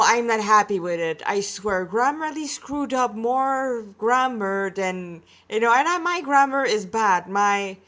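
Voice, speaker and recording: A woman's voice: a little high, fairly bright tone. A woman reading her own amateur fan fiction, breaking into candid, self-deprecating, chatty asides.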